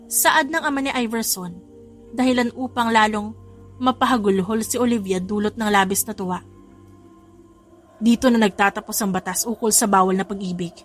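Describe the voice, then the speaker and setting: A Filipino-accented woman narrator tells a story in a dramatic scripted style. Her voice sits at a medium pitch, with background music underneath. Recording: music behind the voice.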